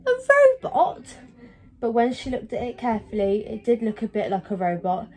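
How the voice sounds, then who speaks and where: A woman reading a children's story aloud in an expressive storytelling style. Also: medium pitch, a little fast. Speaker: storybook reader.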